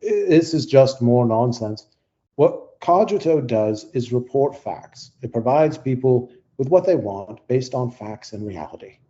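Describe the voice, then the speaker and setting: A male actor plays a cold, dismissive tech advocate in an audio drama. His mid-pitched voice is mellow with wide swings in melody, and he speaks bluntly, with total certainty. The recording is a little muffled. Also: expressive, measured pace, natural voice. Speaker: debater. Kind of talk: audio play acting.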